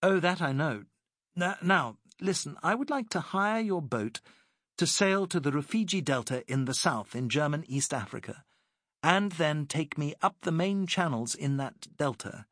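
A man voicing a poised British woman working as a secret agent, speaking crisply and persuasively. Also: on the high side, expressive, normal speed, a bit bright, no room sound, studio quality, voice pushed up.

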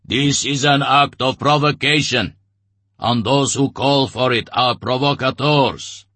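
A man voicing a burly male commander who addresses a crowd in a booming, bureaucratic tone. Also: measured pace, pushed and bright, no room sound. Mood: commanding.

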